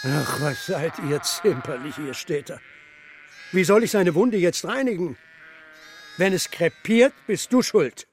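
An actor playing a stubborn, gruff old herder grandfather with a curt, commanding, scornful manner in a children's radio drama. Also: on the high side, animated, unhurried, a little hiss or hum. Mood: scornful, reproachful. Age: older.

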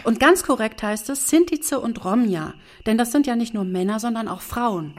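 A woman's voice: on the high side, animated, normal speed, lightly acted. A woman acting a middle-aged wife and mother in a radio play, earnest, pedantic and easily put on the defensive. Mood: lecturing, indignant.

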